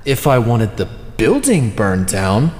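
A man's voice: medium pitch, huge pitch swings, takes it slowly, darkish tone. A man acting in an audio drama as a bossy, sardonic villain leader with vain, classy tastes. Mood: bossy, dry. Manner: sardonic, commanding. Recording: roomy, echoing hall.

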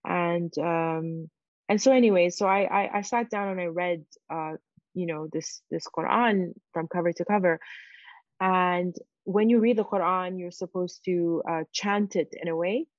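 A woman with a fairly low voice tells her story in a close, dry recording with a muffled, lo-fi edge. Her first language is Farsi. She talks reflectively and hedges often with "sort of" and "you know". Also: a little slow, no echo. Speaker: guest. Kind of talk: personal story in an interview.

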